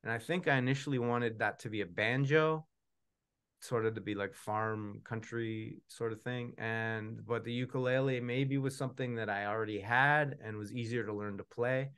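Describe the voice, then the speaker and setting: A middle-aged man with a medium-pitched voice talks casually over a video call from a room at home. He rambles a little and plays down his own know-how as he answers questions. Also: fairly even intonation, normal speed, a bit bright, clean tone. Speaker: puppeteer answering audience questions. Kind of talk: casual chat.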